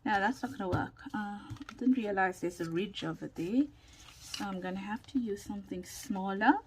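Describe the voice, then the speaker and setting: A woman recovering from a lost voice and a sore throat, chatting casually through a crafting tutorial with many pauses and ums, sometimes dropping to a whisper. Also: mid-range voice, darkish tone, a little hiss or hum. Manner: slow, chatty, with frequent ums and laughs, drifting into whispers. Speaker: craft vlogger.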